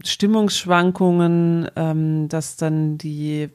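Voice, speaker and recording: A woman's voice: low voice, fairly even intonation, very slow, a little mellow, smooth. A woman, explaining things in a casual, colloquial way as a co-host. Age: 40s. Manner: informal, colloquial with some English slang.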